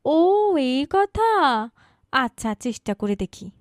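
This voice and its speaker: A woman's voice: high-pitched, very animated, takes it slowly, no room sound, studio quality, full character voice. A woman voicing a polite, earnest village woman in a moral cartoon, with a Bangladeshi accent. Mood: questioning. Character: village mother and her polite, earnest grown daughter. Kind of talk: cartoon moral story dialogue.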